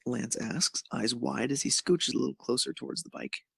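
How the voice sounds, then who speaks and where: Guy narrating fanfic, kinda raspy w lots of fry, medium pitch. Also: very animated, moderate pace, a bit bright, no echo, clean studio sound. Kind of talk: scripted narration of romantic fan fiction.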